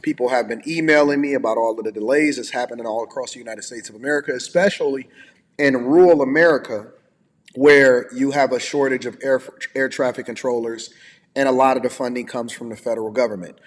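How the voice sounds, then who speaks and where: A man talks through his opinions on the news in a casual commentator's style. His voice sits at a middle pitch. The room adds a long reverb around it. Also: fairly even intonation, fairly quick. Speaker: online commentator.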